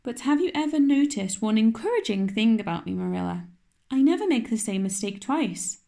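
A woman's voice: a little high, sing-song, moderate pace, creaky, mellow. A woman doing a tearful, dramatic young orphan girl. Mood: earnest, hopeful. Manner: tearful, breathless, overwrought pleading. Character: imaginative, dramatic orphan girl, about eleven.

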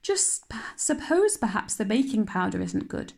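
A woman voicing an eager, chattering young girl who rushes her words and pleads. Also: mid-range voice, sing-song, vocal fry, darkish tone, exaggerated voice. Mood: anxious. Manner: breathless, rushing, pleading.

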